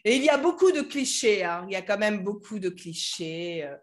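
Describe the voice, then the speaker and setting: A woman's voice: mid-range voice, animated, normal speed. A woman hosting a casual interview, chatty and full of fillers like "hein" and "voilà". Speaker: host of a French learning club.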